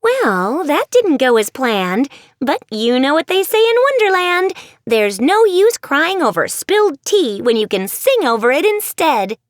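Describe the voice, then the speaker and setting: A woman voicing a zany, excitable young girl who speaks in a giddy, whimsical way. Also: high-pitched, measured pace, few pauses, dry recording, clean studio sound, pitched above the performer's own voice.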